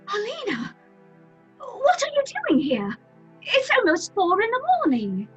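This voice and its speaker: An actress playing a timid, guilt-ridden young member of a theatre troupe, earnest and apologetic, in an audio horror drama. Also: female voice, extremely high pitch, wildly swooping pitch, measured pace, highs cut off, soft background music, exaggerated voice. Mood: nervous.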